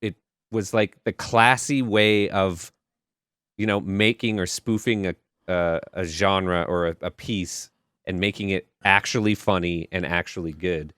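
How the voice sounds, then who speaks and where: A man co-hosting a podcast, chatting about films in a loose, animated, sweary way. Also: low voice, measured pace, smooth, no room sound, studio quality. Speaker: podcast co-host. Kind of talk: casual film review chat.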